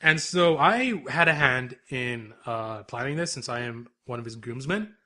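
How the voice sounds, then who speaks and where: A young man from Canada chats loosely into a desk mic, with a bright, forward voice that sits at a middle pitch. He rambles and trails off, laughing along the way. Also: expressive, measured pace. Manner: laid-back, rambling, laughs often. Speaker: podcast co-host. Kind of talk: casual podcast chat.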